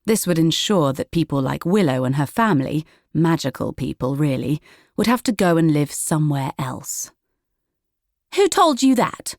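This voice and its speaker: A woman narrates a children's audiobook. Her voice sits low, and the recording is dry with no room around it. She reads scripted storybook prose. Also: lively intonation, moderate pace, a little mellow, dry recording.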